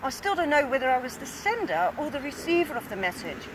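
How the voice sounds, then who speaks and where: A woman with a Dutch accent reading aloud from her own book in an earnest, measured way, outdoors in a snowy field. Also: sits high, sing-song. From Netherlands. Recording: distant mic, outdoor noise.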